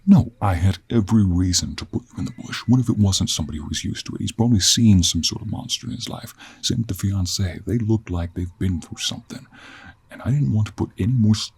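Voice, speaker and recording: A man playing a gruff, stubborn cowboy monster hunter in an audio role play, with an American Western accent, a folksy drawl and a husky voice. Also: fast, full character voice. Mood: stern, exasperated. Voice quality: husky, low.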